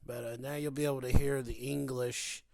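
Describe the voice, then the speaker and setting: Male hobbyist demonstrator, average pitch, informal and folksy, close dry mic, off-the-cuff feel. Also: flat delivery, moderate pace, creaky, darkish tone, no room sound. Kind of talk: casual hands-on demonstration.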